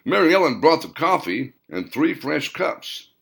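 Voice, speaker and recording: Gravelly, expressive male narrator. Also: a little slow. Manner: expressive storytelling with wide pitch swings. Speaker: author and narrator. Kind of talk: audiobook narration.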